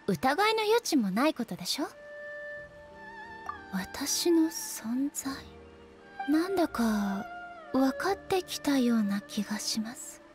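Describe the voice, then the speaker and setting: A woman voicing a dignified, introspective female deity ruler in a game, speaking in a calm, formal and measured way. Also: high voice, exaggerated voice. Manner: formal, measured, polite speech. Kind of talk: Japanese game dub acting.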